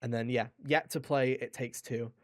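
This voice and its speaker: A man's voice: medium pitch, fairly even intonation, moderate pace. A man hosting a podcast in a casual, informal chat about video games, rambling a little and addressing listeners directly. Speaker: podcast host.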